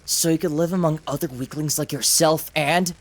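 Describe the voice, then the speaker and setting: A woman's voice: low voice, steady tone, normal speed. A woman voicing a taunting, sadistic male samurai villain in a visual novel, with theatrical, sneering delivery. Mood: sneering, gloating.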